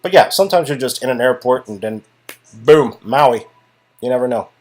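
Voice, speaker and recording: A man playing a sarcastic, grumbling ex-agent who talks in a dry, halting, improvised way. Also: medium pitch, lively intonation, darkish tone, close to an everyday voice. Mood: rambling, wry.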